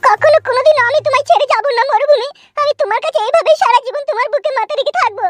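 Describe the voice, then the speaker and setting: Super high squeaky cartoon village wife, gushing and defiant, talks crazy fast. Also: a bit bright, dry recording. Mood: devoted, insistent. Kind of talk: comic village cartoon dubbing. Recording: music under the voice.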